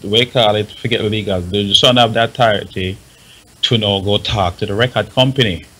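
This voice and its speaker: A man's voice: lowish voice, a little fast, fairly bright tone, slightly rough. A man debating informally on a panel, insistent and emphatic, often repeating his words to make a point. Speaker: talk show panelist. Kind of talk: informal, argumentative panel discussion.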